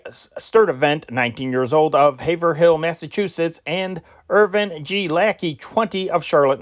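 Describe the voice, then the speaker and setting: A man reading old newspaper dispatches aloud as an amateur news reader. His reading is measured, with occasional slips and self-corrections. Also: a little high.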